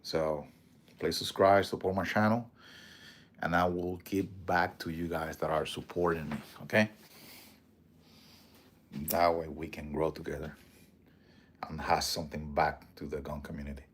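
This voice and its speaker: A man's voice: extremely low pitch, flat delivery, a little slow, pauses often, husky. A man with a strong Puerto Rican accent hosting a casual livestream, rambling, swearing freely and answering viewer comments. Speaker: livestream host.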